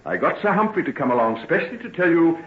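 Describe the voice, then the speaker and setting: An actor playing an earnest, formal gentleman doctor in old-time radio drama. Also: fairly high pitch, big pitch swings, fast talker, a little hiss or hum. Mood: gently coaxing. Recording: thin, phone-like old radio recording.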